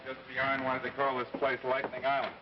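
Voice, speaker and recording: Skeptical, kindly American scientist, male actor, quick talker, dull old radio recording with faint noise. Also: mid-range voice, monotone, recorded from a distance, natural voice. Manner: brisk, matter-of-fact. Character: brisk, skeptical young male biologist, kindly and sensible. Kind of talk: 1950s radio drama acting.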